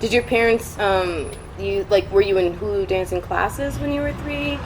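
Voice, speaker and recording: A woman hosting a podcast, chatty and bubbly, laughing often and filling pauses with ums. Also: medium pitch, slight background noise, low-quality recording. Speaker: podcast host. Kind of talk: casual podcast chat and show intro.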